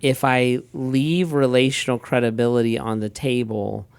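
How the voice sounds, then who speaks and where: A middle-aged pastor talks in an easygoing, rambling way, often restarting sentences and acting out little exchanges. His voice is smooth and resonant, recorded close and dry. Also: mid-range voice, moderate pace, no echo. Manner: relaxed storyteller, frequent restarts and quoted asides. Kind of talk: casual conversational talk.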